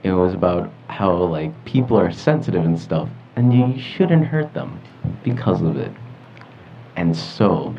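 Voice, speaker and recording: Teenage male podcast co-host, low voice, slangy and halting storytelling, dull recording under loud music. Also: lively intonation. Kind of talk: casual storytelling chat.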